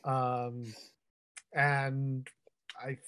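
A middle-aged man, a publisher, speaking in a halting way on a video call from a room at home. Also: medium pitch, flat delivery, a bit bright, clear, resonant tone. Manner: halting, hesitant, with fillers. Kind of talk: casual panel talk.

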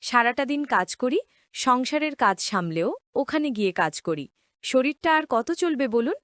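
Woman voicing a young cartoon maid, very high pitch, animated, insistent, complaining, emphatic. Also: moderate pace, dry recording, clean studio sound. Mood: tired, fed up. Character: hard-working young housemaid, outspoken and insistent. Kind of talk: cartoon drama voice acting.

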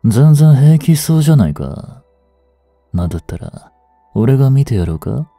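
An actor playing a rough, cocky mafia boss with hidden tenderness in an intimate audio drama, gruff and teasing in a drawl. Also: male voice, medium pitch, big pitch swings, normal speed, warm tone, dry recording. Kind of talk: intimate Japanese-style dramatic voice acting aimed at women listeners. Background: music.

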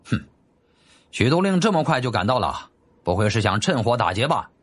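A man voicing a gruff, blunt middle-aged warrior uncle who grumbles, in an audiobook. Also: mid-range voice, big pitch swings, normal speed. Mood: grumbling, hostile.

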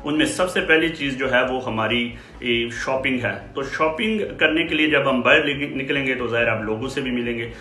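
A man in his forties giving measured advice to camera from a small room at home, pausing often with fillers. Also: medium pitch, bright, forceful tone. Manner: measured, with frequent pauses and fillers. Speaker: commentator. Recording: roomy small room with faint music.

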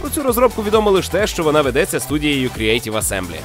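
Animated, sarcastic male news host, music behind. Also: a little high, rapid-fire. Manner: sarcastic, opinionated, theatrical. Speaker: co-host of a video game news show. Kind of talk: scripted banter and news narration.

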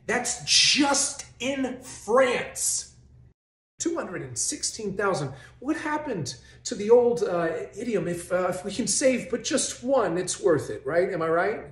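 Sarcastic man with a lively, high voice. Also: slow pace. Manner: mock-incredulous, sarcastic, gesturing as he talks. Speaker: host of a faith video series. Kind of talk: sarcastic religious commentary to camera. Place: bright modern living room, indoors. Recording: roomy echo in a home.

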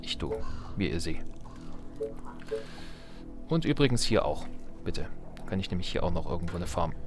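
A man's voice: low-pitched, sing-song, moderate pace, music in the background. A man giving casual, playful let's play commentary, joking at himself and muttering asides as he plays. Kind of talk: casual gameplay commentary, talking to himself and viewers.

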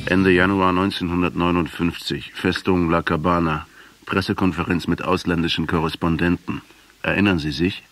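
An actor playing an accusing male intellectual in a radio drama, speaking in a deliberate, articulate way. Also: low voice, level tone, fairly bright tone, soft background music. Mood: probing. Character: accusing male intellectual, professor quoting history at the commander.

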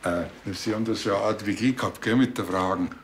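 Man playing a low-voiced bumbling Bavarian policeman. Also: fast talker, a little hiss or hum, lightly acted. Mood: flustered. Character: bumbling, naive, tactless male Bavarian policeman. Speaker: police officer. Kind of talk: TV comedy crime drama acting.

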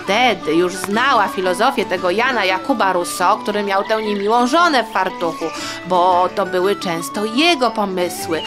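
Woman narrator, children's history tale, colloquial, expressive emphasis, leisurely pace, faint background music. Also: medium pitch. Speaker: storyteller, host of a history show. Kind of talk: chatty scripted history storytelling for children.

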